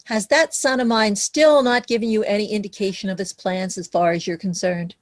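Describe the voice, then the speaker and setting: A woman playing a fond, wry widowed mother who works in banking, speaking in a measured, conversational way in a musical theatre read-through. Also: medium pitch, fairly even intonation, natural voice. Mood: warm, concerned. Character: widowed banker mother, middle-aged or older, fond and wry. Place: recorded remotely online.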